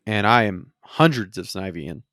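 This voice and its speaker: A man's voice: on the low side, animated, moderate pace, a bit bright, no room sound, studio quality. A man co-hosting a gaming podcast with casual banter and joking, mock-grand asides. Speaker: podcast co-host.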